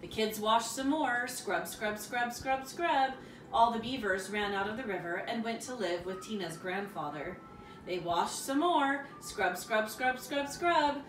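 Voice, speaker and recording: High-voiced woman reading a bedtime story. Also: measured pace, slight background noise. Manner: expressive, rhythmic with repetition and sound words. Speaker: storybook reader. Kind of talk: children's bedtime story read aloud. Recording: roomy, distant mic, music behind. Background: music.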